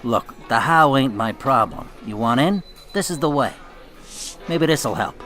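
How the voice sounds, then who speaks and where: An actor playing a cool, commanding mafia boss with dry, understated menace in a radio drama. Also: male voice, medium pitch, lively intonation, a little slow, fairly bright tone, faint music behind. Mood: cool, businesslike.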